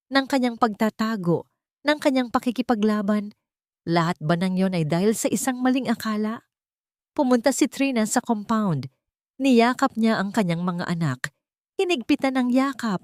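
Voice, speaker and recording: A woman narrating a dramatic romance story in a steady, dramatic scripted style. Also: mid-range voice, dry recording, clean studio sound.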